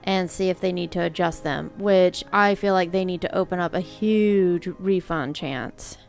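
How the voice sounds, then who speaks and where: Gravelly-voiced woman in her thirties chatting. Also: lowish voice, moderate pace, soft background music. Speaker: podcast co-host. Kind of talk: casual chat.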